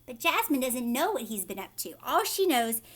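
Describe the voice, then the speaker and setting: Female teacher for children's read-aloud: animated, fairly high voice, chats directly to young listeners. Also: moderate pace, a little hiss or hum. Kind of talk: storybook read-aloud with chatty asides to children.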